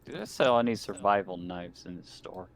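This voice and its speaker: A man's voice: sits low, normal speed, creaky. A man chatting casually as a player in a tabletop roleplaying game over a voice call. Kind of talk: casual in-character game chat. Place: online voice call. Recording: noisy voice call.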